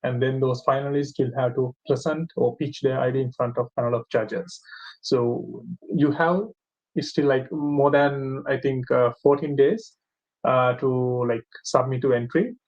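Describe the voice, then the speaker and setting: A man hosts an online session, pausing often and filling gaps with "uh" and "like". His pitch sits in the middle range for a man. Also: fairly even intonation, darkish tone, muffled recording. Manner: hesitant, many fillers. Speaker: host. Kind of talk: webinar moderation.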